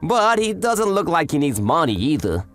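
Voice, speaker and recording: Man voicing a lively, curious student narrator. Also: fairly high pitch, normal speed. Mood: puzzled, explaining. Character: curious male student narrator of a handyman club. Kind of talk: first-person narration in an anime-style dub. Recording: voice over background music.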